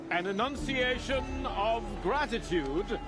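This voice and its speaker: A man's voice: very high-pitched, exaggerated voice. A man playing a pompous, flustered priest in a video game, declaiming a proclamation in stilted, formal phrases.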